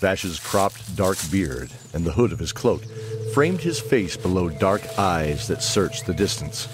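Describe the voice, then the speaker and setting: A man's voice: sits low, big pitch swings, measured pace. A man narrating a fantasy audio drama in a scripted storytelling style. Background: music.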